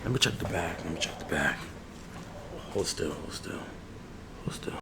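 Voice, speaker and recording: Casual, rambling man over clear background noise. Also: extremely low pitch, expressive, moderate pace. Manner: slangy, rambling, familiar. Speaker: ASMR barber roleplay creator. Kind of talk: ASMR roleplay with casual chat.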